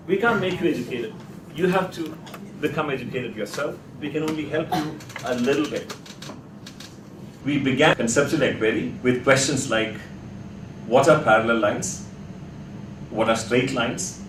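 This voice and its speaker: A man teaching a class in a deliberate, emphatic way, putting probing questions to his students. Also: fairly high pitch. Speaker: teacher leading a workshop class. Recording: echoey, noisy room, distant mic.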